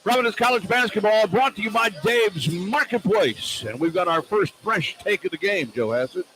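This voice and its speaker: Male radio play-by-play basketball commentator, higher-pitched voice, courtside with loud arena noise. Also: animated, moderate pace, rough recording.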